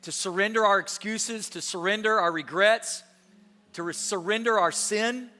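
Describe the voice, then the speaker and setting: A man preaches with energy, firing rhetorical questions at his listeners and calling for a response. His voice is bright, forceful and slightly high. He sounds across a large church hall with long reverb and soft music beneath. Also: normal speed. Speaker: pastor. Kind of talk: Easter sermon, dialogue with co-pastor.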